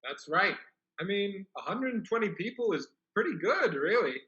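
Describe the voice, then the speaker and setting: A man's voice: high voice, big pitch swings, normal speed, pushed and bright, dull audio, heavily acted. A man playing a frank, doubtful biblical disciple in a church dramatic reading.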